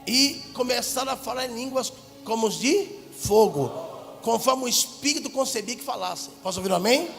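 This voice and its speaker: A Brazilian man preaching with fervor, his voice strikingly high and gravelly. He lurches from shouts to hushed asides. The sound carries the long reverb of a big church hall, with faint music underneath. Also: a little fast. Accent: Brazilian Portuguese. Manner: fervent, swings from loud shouts to low asides. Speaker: pastor. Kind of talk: preaching a sermon.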